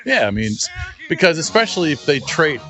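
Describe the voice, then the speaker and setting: Sports radio guy, talks real fast, casual and agreeable, faint music. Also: a little high, very animated, bright, forceful tone. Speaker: radio sports show co-host.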